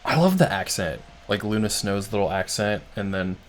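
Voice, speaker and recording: A man hosting a live stream, reacting to a game trailer in casual, excited, rambling talk full of "like". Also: lowish voice, moderate pace. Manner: excited, rambling, heavy use of "like". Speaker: streamer host.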